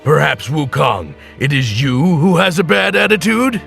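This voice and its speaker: A tactful old dragon king from an animated children's tale. The voice is fairly high and bright, with a crackly edge. The delivery is deliberate and gently admonishing, like a wise elder. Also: gravelly, faint music behind, heavily acted. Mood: gently reproving. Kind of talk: children's animated story character acting.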